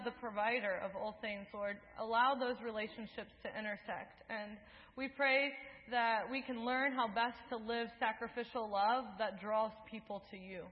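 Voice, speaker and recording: Young woman giving a sermon, earnest and steady, echoey church, kinda muffled. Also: on the high side. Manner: earnest, measured, with list-like cadences. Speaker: preacher. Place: church sanctuary. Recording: dull sound, echoing in a large hall.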